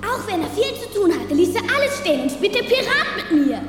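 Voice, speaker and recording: A woman playing a gentle, wistful and eager boy ghost in a German audio drama. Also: very high voice, big pitch swings, a little fast. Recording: echoey hall, music behind.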